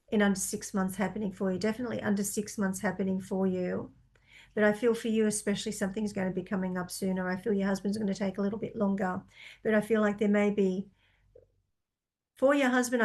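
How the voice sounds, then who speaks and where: A woman's voice: medium pitch, a little mellow. A woman giving psychic readings to viewers, reading out their questions and answering tentatively, with many fillers as she thinks aloud. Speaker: psychic reader.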